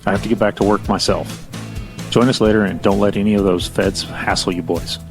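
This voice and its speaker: A man's voice: lowish voice, steady tone, a little fast, vocal fry. A man playing a friendly, assured, sociable gunnery sergeant in an audio drama. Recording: music bed behind.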